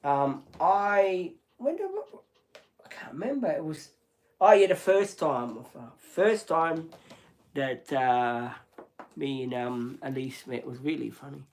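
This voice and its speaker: A man's voice: a little high, expressive, slow, a little mellow. An Australian man hosting a livestream, chatting casually and bluntly with plenty of swearing. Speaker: livestream host. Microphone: phone or camera on a livestream.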